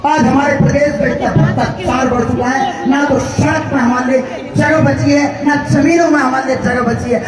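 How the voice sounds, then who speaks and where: A man from giving an impassioned political speech to a crowd, shouting and firing off rhetorical questions. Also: extremely high pitch, fairly even intonation, moderate pace. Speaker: rally speaker. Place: outdoor rally ground. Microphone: public address mic heard from a distance. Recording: echoey outdoor PA from far off, crowd noise. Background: crowd murmur.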